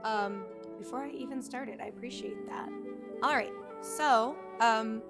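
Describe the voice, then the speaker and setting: A woman's voice: a little high, unhurried. A woman livestreaming a video game, chatting casually to viewers and reacting as she plays. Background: game music.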